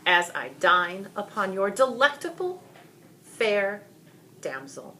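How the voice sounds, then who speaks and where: Woman acting out a menacing storybook dragon, male, mocking, grandiose, bright forceful edge, faint background noise. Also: mid-range voice, very animated, voice pushed up, full character voice, rough recording. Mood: mocking menace. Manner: taunting, drawn-out grandiose delivery.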